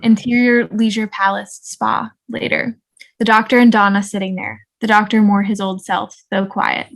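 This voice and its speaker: A woman's voice: medium pitch, level tone, moderate pace, gravelly, fairly bright tone, poor audio. A woman narrating the stage directions of a drama script at an online table read.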